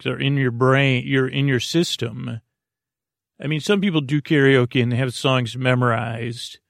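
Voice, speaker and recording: Creaky-voiced man, rambling bedtime podcast host. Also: medium pitch, no echo, clean studio sound. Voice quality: gravelly, creaky. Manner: meandering, hesitant, with stammered false starts and tangents.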